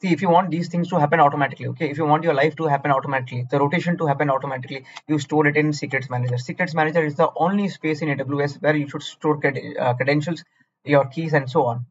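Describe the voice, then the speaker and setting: A man's voice: fairly high pitch, steady tone, racing, highs cut off, slight background noise. A man with an Indian accent tutoring through exam questions, blunt and repetitive, scolding the listener at times. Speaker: cloud certification tutor. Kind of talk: screen-recorded exam walkthrough.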